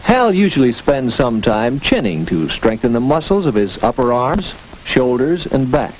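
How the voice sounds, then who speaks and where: Male narrator, vintage 1940s educational film style. Folksy, instructive, animated intonation, faintly noisy old soundtrack. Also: mid-range voice, moderate pace. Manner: instructive, folksy storytelling with rhetorical questions and asides.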